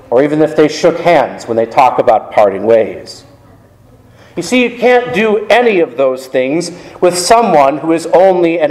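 Pastor preaching in an echoey church. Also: male voice, on the high side, darkish tone. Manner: measured preaching with rising emphasis and falling phrase ends. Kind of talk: sermon. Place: church sanctuary.

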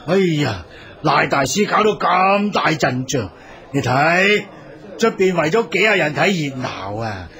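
An actor playing a worried, fussy older village uncle, exclaiming fretfully in a radio drama. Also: male voice, a little high, very animated, a little slow, sharp tone, full character voice. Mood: flustered. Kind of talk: Cantonese radio drama acting. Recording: crowd murmur behind.

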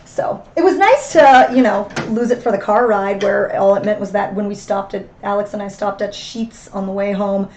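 A woman in her thirties, hoarse and husky after losing her voice, co-hosting a casual livestream chat from a small room. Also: mid-range voice, moderate pace, hardly any pauses, darkish tone, slight background noise. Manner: laid-back, chatty. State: hoarse from lost voice. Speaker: co-host.

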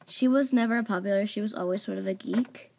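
A woman's voice: medium pitch, quick pace, creaky, darkish tone, slight background noise. A woman casually introducing characters off-camera, rambling with lots of "like" and "um" and correcting herself as she goes. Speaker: channel host presenting toy characters for voice auditions. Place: indoors at home, toys on a table. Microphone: phone or camera mic, speaker off-camera.

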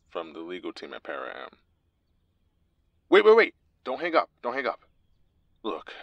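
A desperate, wary corporate lawyer, acted by a man in an audio roleplay. His voice is low and recorded dry and close, and he speaks with guarded, pleading urgency as if on a secret call. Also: fairly bright tone, no room sound, full character voice. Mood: cautious, tense. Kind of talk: audio roleplay acting, one side of a phone call.

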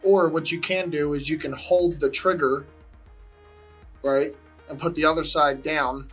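A man calmly and patiently giving short instructions while doing plumbing work at home. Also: fairly high pitch, measured pace, husky, soft background music. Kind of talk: casual chat while working.

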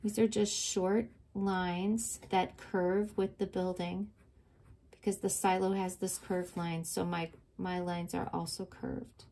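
A woman's voice: fairly low pitch, flat delivery, slow pace, mellow. A middle-aged woman teaching a step-by-step drawing lesson to children, patient and encouraging, recorded at her desk on the camera mic. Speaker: art teacher.

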